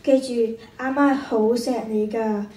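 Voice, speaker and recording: Teen girl as caring worried mum, hk cantonese radio play, high mellow voice, echoey. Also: steady tone, measured pace, close to an everyday voice. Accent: Hong Kong Cantonese. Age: teenager. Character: gentle, loving, worried mother. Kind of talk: radio drama acting. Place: stage of a large hall. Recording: echoey stage, distant mic, faint noise.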